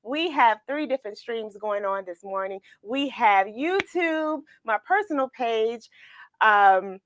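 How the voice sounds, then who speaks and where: A woman with a slightly high voice hosts a children's story livestream. She talks casually and cheerfully, addressing her audience directly as a teacher would. The sound is dry, with very little room echo. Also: expressive, slow, fairly bright tone. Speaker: host of a children's book read-along livestream. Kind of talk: casual livestream chat with viewers.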